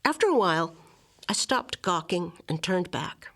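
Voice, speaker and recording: Expressive woman reading literary prose. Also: fairly low pitch, a little slow. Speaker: reader of a literary memoir excerpt. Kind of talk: scripted narration, literary reading aloud.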